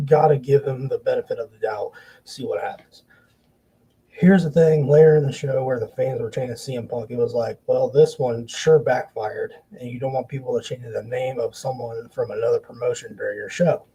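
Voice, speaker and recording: A man hosting a wrestling podcast, giving blunt, profane opinions in casual commentary, with halting restarts. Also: mid-range voice, dark tone, slightly rough, poor audio.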